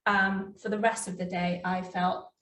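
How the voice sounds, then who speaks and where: A woman's voice: mid-range voice, level tone, measured pace, a bit bright. A woman co-hosting a casual health discussion, speaking in a conversational, reflective and slightly hesitant way. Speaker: doctor co-hosting a health talk. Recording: echoey room, distant mic.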